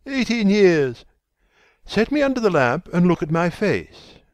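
A man voicing a refined, youthful gentleman in an audiobook, exclamatory, urgent and imperious. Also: high voice, sing-song, unhurried, no room sound, pitched above the performer's own voice. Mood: urgent, triumphant.

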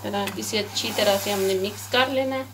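A woman with a Pakistani Urdu accent, host of a home cooking channel, calmly talking viewers through a recipe step by step, filmed on a phone in her home kitchen. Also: mid-range voice, moderate pace, heavy background noise. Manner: calm, repetitive, pointing things out to viewers. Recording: kitchen clatter of dishes and pans.

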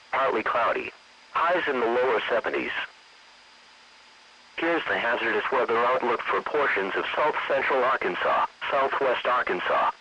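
A man's voice: mid-range voice, pushed and bright, breathy edge. A man's synthetic-sounding voice reading a scripted weather bulletin in a stilted, evenly phrased way. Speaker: weather radio forecast announcer. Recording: muffled, noisy weather radio broadcast.

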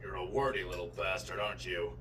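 A man's voice: deep voice, little pitch movement, quick pace, heavy background noise, natural voice. A man voicing a stern, impatient, insulting alien warrior mentor in a cartoon parody.

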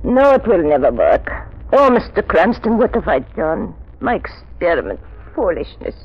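Anxious elderly scientist, high-pitched. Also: male voice, huge pitch swings, husky, full character voice. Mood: despairing. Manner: agitated, halting, theatrical. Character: elderly, anxious, obsessive male scientist doctor, trembling and fervent. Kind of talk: 1930s radio drama acting. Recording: dull, noisy old 1930s radio recording.

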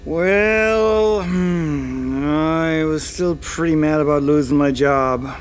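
A man's voice: on the high side, slow pace, slight background noise. A man playing a crude, unrepentant middle-aged drunkard father in an audio drama, flippant, hesitant and foul-mouthed. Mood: rambling, defensive.

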